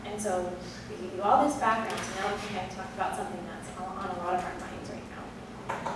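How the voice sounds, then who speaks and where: A woman with a quiet, soft voice, giving an explanatory talk as a conservation district director, with many ums. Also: mid-range voice, steady tone, brisk. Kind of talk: presentation to a club meeting. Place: meeting room. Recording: echoey room from far off, noisy.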